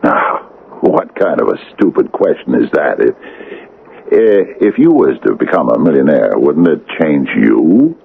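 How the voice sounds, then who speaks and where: Folksy small-town mayor, gloomy and halting, gravelly with big swings in pitch, vintage lo-fi radio play. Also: male voice, big pitch swings, heavily acted. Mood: testy. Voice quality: deep, gravelly voice. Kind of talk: radio drama acting. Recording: narrow, lo-fi old radio broadcast.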